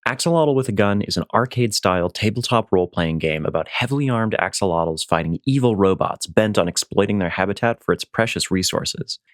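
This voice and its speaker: A man's voice: lowish voice, animated, a little fast, few pauses, dry recording, clean studio sound. A man announcing a tabletop game promo in a playful, scripted style.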